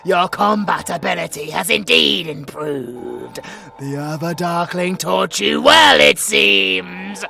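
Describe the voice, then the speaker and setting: A sadistic monster supervillain with a British accent, creeps through an audio drama. He speaks very slowly in a gravelly voice, swinging in pitch as he mocks and threatens his prey with theatrical relish. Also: lively intonation, pushed and bright, soft background music, exaggerated voice. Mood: mocking menace. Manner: slow, mocking, theatrical menace. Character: sadistic predatory male supervillain monster who toys with prey. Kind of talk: villain audio roleplay acting.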